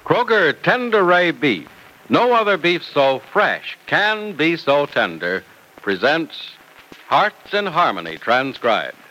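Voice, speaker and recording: Animated, bright vintage radio announcer man. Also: a little high, poor audio. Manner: polished, emphatic salesmanship. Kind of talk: 1940s-50s radio announcing, scripted pitch.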